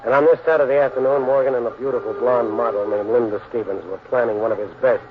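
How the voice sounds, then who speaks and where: A man's voice: medium pitch, steady tone, fast talker, background noise, lightly acted. An actor playing a dry, wry American criminal lawyer who narrates his case in 1940s radio detective style. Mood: wry, confiding. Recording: tinny old radio with music.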